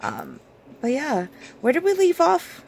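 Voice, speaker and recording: A woman vlogger losing her voice, her speech hoarse and raspy as she chats casually through a nail routine with plenty of fillers. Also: sits high, wildly swooping pitch, a little slow, a little mellow, a little hiss or hum. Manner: rambling, chatty, with many fillers and small laughs. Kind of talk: casual chat while doing her nails.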